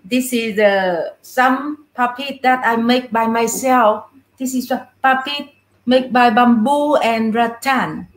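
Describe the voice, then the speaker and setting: A woman's voice: fairly high pitch, slow. A middle-aged woman with a Vietnamese accent, telling stories casually as an interview guest in non-native English. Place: indoor room full of puppets, on a video call. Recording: roomy echo on a video call.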